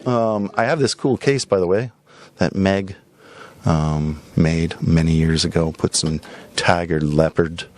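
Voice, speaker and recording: A man hosting a podcast in a casual, rambling way, showing items for sale with drawn-out emphasis and frequent tag questions. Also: low-pitched. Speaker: podcast host and record shop seller. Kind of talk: casual rambling product showcase.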